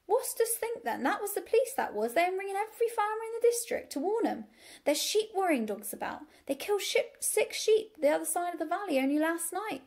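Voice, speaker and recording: A woman voicing an excitable, bossy farmer's wife with a rural English accent in a storybook reading. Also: extremely high pitch, brisk, pitched above the performer's own voice, exaggerated voice. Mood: agitated, urgent. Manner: excitable, repeats herself in dialect. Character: flustered, bossy country farmer's wife, scolding and chattering.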